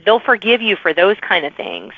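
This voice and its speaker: A woman's voice: medium pitch, huge pitch swings, normal speed, creaky, no room sound, phone-quality sound. A woman hosting a podcast, talking in a casual, chatty, informal way with emphatic asides. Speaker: podcast host. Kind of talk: casual interview chat.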